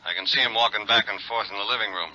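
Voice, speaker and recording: An actor playing a chummy, slangy detective's helper reporting in over the telephone in a 1950s radio drama. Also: male voice, lowish voice, quick pace, pushed and bright. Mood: brisk, alert. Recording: thin, dull 1950s radio telephone sound.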